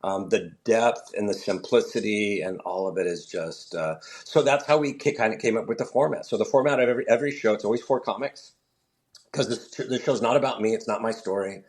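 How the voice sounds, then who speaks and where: A man's voice: lowish voice, fairly quick. A middle-aged male comedian chatting on a remote interview, telling stories and acting out quoted voices. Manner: animated storyteller, acts out quoted voices. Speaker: comedian and show founder. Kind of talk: casual interview chat.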